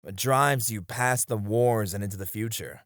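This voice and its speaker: A man's voice: lowish voice, normal speed, a bit bright, no echo, clean studio sound, close to an everyday voice. A man playing a seasoned knight, a wistful, teasing sparring comrade in a romantic roleplay, with reflective, hesitant phrasing. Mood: earnest, gentle. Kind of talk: romantic ASMR roleplay acting.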